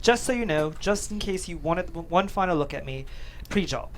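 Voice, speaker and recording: A woman playing an awkward, cocky young slacker lad in a comedy table read, matey and halting. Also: fairly low pitch, animated. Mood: chipper.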